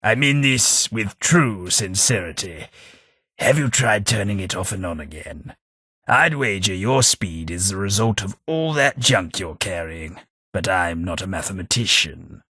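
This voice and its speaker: A centuries-old undead aristocrat with a British accent, drawling slow and dry in a posh, sarcastic way. His tone is bright and edgy with a husky grain. It is a close, dry studio recording with no room sound. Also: low-pitched, animated, no echo, exaggerated voice. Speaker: companion character. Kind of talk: game voice lines.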